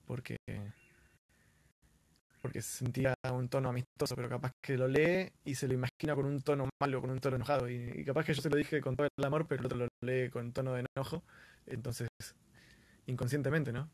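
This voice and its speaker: A man's voice: mid-range voice, fairly even intonation, a little fast, a little mellow, dry recording. A man with an Argentine Spanish accent, talking casually and earnestly as the host of a live stream, often repeating words for emphasis.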